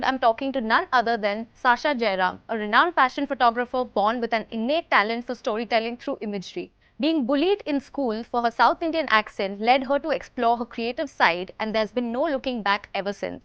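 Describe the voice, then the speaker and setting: A woman's voice: a little high, fairly bright tone, clean tone, dry recording, muffled recording. A young woman hosting a podcast, reading her introduction and asking her guest friendly, curious questions. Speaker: podcast host. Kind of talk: podcast interview and scripted intro.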